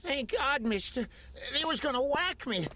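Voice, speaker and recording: An actor playing a slippery, cheeky gangster pilot in a video game, theatrical and sly. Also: male voice, very high-pitched, animated, moderate pace, pushed and bright, a little hiss or hum, full character voice. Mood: timid relief. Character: slippery, cheeky little male gangster pilot, treacherous schemer. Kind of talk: 1920s gangster game voice acting. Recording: music behind the voice.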